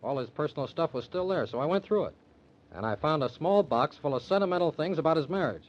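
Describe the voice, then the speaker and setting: A man's voice: on the high side, expressive, fast, muffled recording, natural voice. An actor playing an earnest, confident young man in old-time radio drama style, intense and assertive. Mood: insistent.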